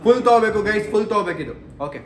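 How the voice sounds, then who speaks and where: Male vlog host, high-pitched and playful, excitable repeated instructions over background chatter. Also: rapid-fire. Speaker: vlog host organizing a family challenge. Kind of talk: casual, playful family vlog chat. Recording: chatter of other people behind.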